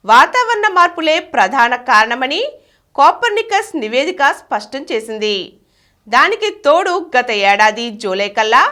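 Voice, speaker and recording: A woman's voice: sits high, sharp tone. A woman reading scripted news reports in a formal announcing manner. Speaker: news reader.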